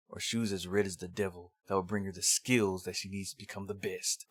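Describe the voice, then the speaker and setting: A man's voice: on the low side, a bit bright, no echo, studio quality. A man presenting a review in semi-scripted narration with casual, rambling asides. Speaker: host of a manga review series.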